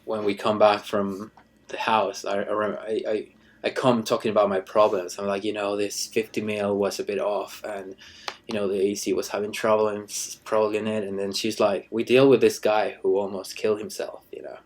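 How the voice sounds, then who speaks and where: A man in his thirties from Ecuador, a cinematographer chatting casually in an interview, halting with many restarts and fillers. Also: on the low side, little pitch movement. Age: 30s. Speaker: cinematographer guest.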